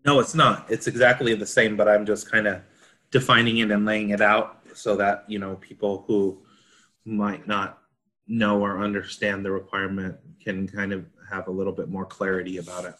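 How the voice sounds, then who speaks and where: A man's voice: on the low side, flat delivery, normal speed, sharp tone. A man working as a consultant, explaining a technical standard informally and in plain terms in a discussion. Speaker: consultant panelist.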